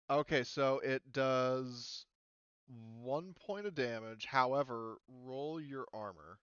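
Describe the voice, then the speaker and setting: A man running a tabletop roleplaying game as game master, talking casually and haltingly as he thinks through the rules aloud. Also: mid-range voice, fairly bright tone, clear, resonant tone, no echo, highs cut off. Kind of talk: casual tabletop game refereeing over online session.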